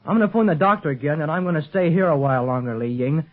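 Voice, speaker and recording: An eager young boy adventurer, played by a man in a 1930s radio serial. The voice is fairly high and a bit rough, full of earnest slang and urgency. The old recording is thin and tinny, like a phone line. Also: fast, natural voice. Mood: eager, urgent.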